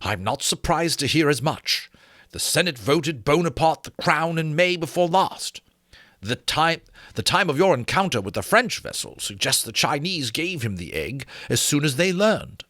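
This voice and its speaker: A man voicing a learned, grave gentleman scholar with formal, courteous speech in a dramatic book reading. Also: a little high, very animated, normal speed, no echo, studio quality, pitched above the performer's own voice. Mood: grave, explanatory. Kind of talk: dramatic audiobook character reading.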